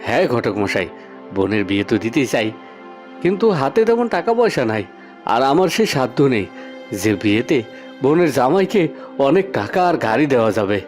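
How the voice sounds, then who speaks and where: Honest young farmer, played by a man: mid-pitched, lively, earnest cartoon delivery. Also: brisk, soft background music, close to an everyday voice. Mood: earnest, regretful. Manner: earnest, polite. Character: honest, poor young farmer, firm older brother. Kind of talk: cartoon fairy-tale voice acting.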